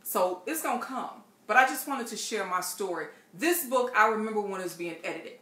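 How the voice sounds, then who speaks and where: A middle-aged woman with a bright, forward voice hosts a faith book talk from a living room. She talks playfully and with emphasis, leaning on repetition. The room adds a clear echo. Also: mid-range voice, expressive, fairly quick. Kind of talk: casual church book talk. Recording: roomy echo.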